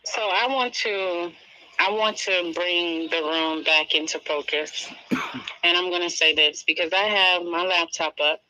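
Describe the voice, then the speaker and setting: A diplomatic panelist with a very bright, crisp-edged voice and a dry sound. The phrasing is deliberate and measured, built in careful steps. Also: medium pitch, steady tone, dry recording, rough recording. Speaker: panelist in a live audio discussion. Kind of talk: measured discussion.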